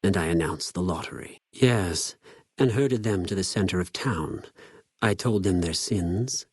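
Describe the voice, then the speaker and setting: Low male voice, cold zealot spy, husky, measured, condescending, formal, video game villain. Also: dry recording, clean studio sound, exaggerated voice. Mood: detached cruelty. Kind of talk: scripted video game character dialogue.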